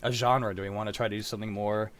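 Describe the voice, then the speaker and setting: A man's voice: on the low side, flat delivery, racing. A man chatting casually in a group as host of a live show. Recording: music playing behind the chat. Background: music.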